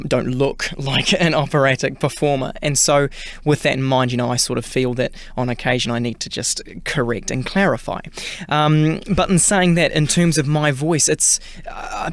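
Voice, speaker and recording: New Zealand male, interview guest, chatty with fillers, mid pitch, dry studio. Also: no room sound. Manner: chatty, many fillers and restarts. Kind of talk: casual radio interview.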